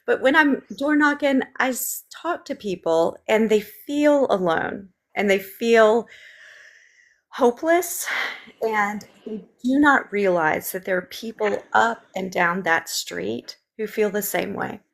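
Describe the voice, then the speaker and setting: Southern lady w a gravelly voice, earnest candidate talk, lots of ums, on a video call. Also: mid-range voice, slow pace. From Memphis, Tennessee. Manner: earnest, talks in long run-on stretches with many ums. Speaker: state legislative candidate. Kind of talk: candid interview answers.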